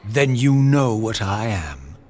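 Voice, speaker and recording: A man's voice: lowish voice, sing-song, slow pace, darkish tone, exaggerated voice. A man playing a proud, vengeful wraith in a video game, with sardonic, bitter, formal and declamatory delivery. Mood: defiant, scornful. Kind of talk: theatrical video game cutscene acting.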